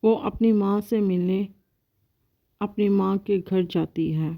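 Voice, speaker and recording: A woman's voice: mid-range voice, slow, muffled recording. A woman reading scripted narration for a bedtime cartoon story.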